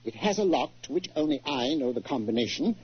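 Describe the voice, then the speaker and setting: An actor playing a worried, blustering titled English art collector with a British accent and gruff, hemming speech, in 1940s radio style. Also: male voice, medium pitch, lively intonation, brisk. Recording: muffled old 1940s radio recording.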